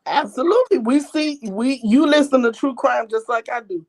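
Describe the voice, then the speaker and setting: Blunt, excitable older woman caller. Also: sits high, normal speed. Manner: emphatic, blunt, slangy, excitable. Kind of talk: casual phone-in chat.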